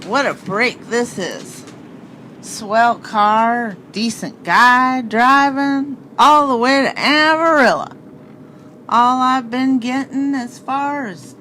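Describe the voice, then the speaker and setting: Man playing a slow-talking young woman hitchhiker. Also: extremely high pitch, bright, forceful tone, slight background noise, pitched above the performer's own voice, exaggerated voice. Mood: relieved, chatty. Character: chatty, weary young female hitchhiker, folksy and grateful. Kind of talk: radio play acting.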